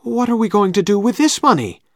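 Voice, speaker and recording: A jittery, greedy outlaw, his voice pitched up, loud and insistent, as a male storyteller puts him on. He sounds dry and close. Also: sing-song, fairly quick, dry recording. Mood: anxious.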